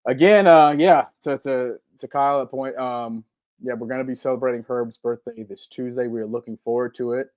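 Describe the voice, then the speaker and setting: A man's voice: mid-range voice, steady tone, a little fast, darkish tone, dry recording. Man, forties, upbeat, rambling, live-stream chat host, dry close mic. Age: 40s. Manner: rambling and upbeat, full of ums and repeats. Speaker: podcast co-host. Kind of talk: casual live-stream chat reading chat comments.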